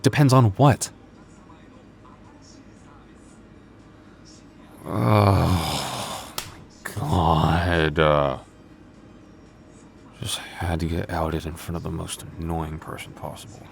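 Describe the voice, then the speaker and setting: A man's voice: low voice, slow pace, creaky, slight background noise, exaggerated voice. A man voicing a young male vampire store coworker in an ASMR roleplay, flustered and teasing, with hesitant, sardonic banter. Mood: flustered, exasperated. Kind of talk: ASMR roleplay audio drama.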